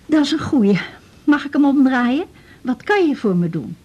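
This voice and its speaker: Husky, frail old Dutch widow. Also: female voice, high-pitched, very animated, darkish tone, highs cut off. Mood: chatty, jovial. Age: older. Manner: chatty, plaintive, with halting repetitions. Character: frail, talkative old widow attached to her house. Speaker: patient. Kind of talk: radio drama acting.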